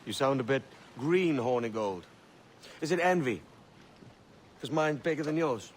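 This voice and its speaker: Male game role, acted: cocky young pirate skipper, medium pitch, teasing, self-assured, clear surf noise behind. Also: lively intonation, a little slow, natural voice. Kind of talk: video game voice acting. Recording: sea and boat noise behind.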